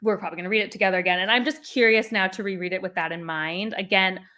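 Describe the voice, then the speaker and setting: A woman with a bright, forward voice hosts a casual chat about books. She rambles, with plenty of fillers and restarts. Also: mid-range voice, fairly even intonation, fast talker. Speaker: booktube host. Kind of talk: casual book discussion.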